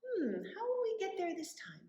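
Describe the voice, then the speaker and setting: A woman leading story time for young children as a librarian, with animated wonder and a sing-song, encouraging way of talking. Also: very high voice, normal speed, muffled recording, slight background noise. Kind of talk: children's story time with rhymes, questions and songs. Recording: echoey room.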